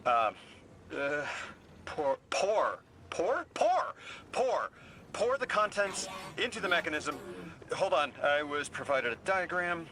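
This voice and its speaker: Bright-voiced, bookish, courteous translator. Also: male voice, on the high side. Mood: flustered, alarmed, then apologetic, rambling. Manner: hesitant, apologetic, formal and eager. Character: flustered, courteous, bookish male translator and emissary. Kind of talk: video game voice acting.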